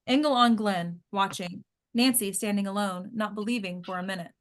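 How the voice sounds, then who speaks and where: A woman's voice: mid-range voice, moderate pace. A woman reading scripted stage directions as narrator of a screenplay table read.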